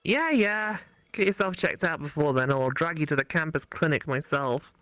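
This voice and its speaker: A woman's voice: deep voice, lively intonation, normal speed, fairly bright tone, dry recording, soft background music. A woman voicing a young female college classmate in a visual novel, frank, suspicious and scolding.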